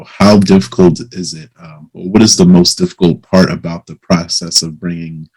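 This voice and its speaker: Casting: male interviewer, deep and raspy, conversational, halting delivery with little pitch movement. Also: level tone, darkish tone, rough recording. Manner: hesitant phrasing, pausing as he builds a question. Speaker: interviewer, host. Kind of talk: casual interview questioning.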